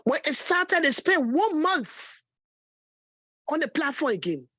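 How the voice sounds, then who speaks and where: A woman's voice: high voice, very animated, slow, a bit bright, no echo. A woman phoning in to give casual, opinionated commentary. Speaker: caller. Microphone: phone. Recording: muffled, band-limited phone call.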